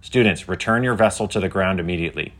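A man's voice: low-pitched, quick pace, fairly bright tone. A man playing a stern government drone in a children's story, giving clipped official orders. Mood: stern, commanding. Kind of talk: scripted children's audio story.

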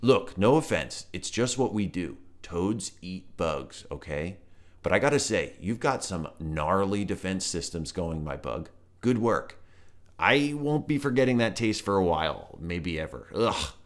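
A relaxed old toad with a loose, drawling, slangy manner, lifted well above the narrator's own deep voice and often loud, as a man puts him on. A storybook loner who takes everything in stride. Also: a little slow. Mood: easygoing. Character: laid-back lonely old male toad.

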